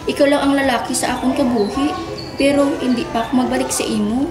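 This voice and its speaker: A woman's voice: sits high, fairly even intonation, quick pace, lightly acted. A woman with a Western Visayan Filipino accent playing a hurt but firm young mother and wife in a radio drama. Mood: earnest, guarded. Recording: echoey room with music bed.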